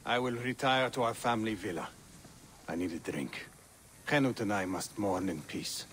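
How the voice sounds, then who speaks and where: A man playing a grieving, weary Egyptian father in a game cutscene, speaking gravely and formally with measured delivery. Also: fairly low pitch, animated, fairly bright tone, slight background noise. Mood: weary, mournful. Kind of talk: dramatic game cutscene acting.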